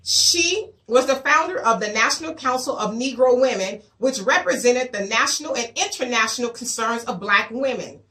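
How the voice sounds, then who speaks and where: Hoarse, bright-voiced woman host. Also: a little high, lively intonation, moderate pace, hardly any pauses, distant mic. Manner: emphatic, rhetorical questions, reads quotes aloud. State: hoarse, voice coming back. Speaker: show host. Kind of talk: commentary monologue to viewers.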